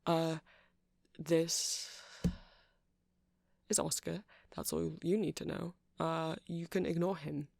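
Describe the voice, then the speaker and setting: A soft-spoken man doing a rambling ASMR roleplay as a shopkeeper, hesitant and apologetic in manner. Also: on the high side, level tone, takes it slowly, vocal fry, long pauses, no echo, studio quality. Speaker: character performer playing a shopkeeper.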